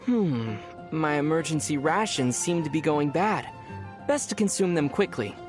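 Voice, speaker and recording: A man voicing a calm, wry young adventurer in scripted game dialogue, speaking in a measured, articulate way. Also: a little high, music in the background, lightly acted. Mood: dryly wry.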